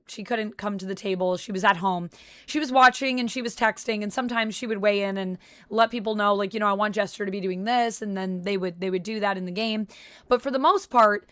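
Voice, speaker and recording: A woman's voice: medium pitch, a bit bright, no room sound, clean studio sound. A young woman hosting a casual review vlog, talking in a chatty, enthusiastic way while a little sick, her voice slightly off. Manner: chatty, enthusiastic fan talk. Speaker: channel host reviewing a show.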